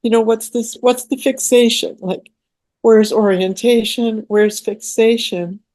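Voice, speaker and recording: Earnest woman, higher voice, dry sound. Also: fairly even intonation, a little slow, darkish tone, no echo. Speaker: satsang participant. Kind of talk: sharing in group talk. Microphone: video call.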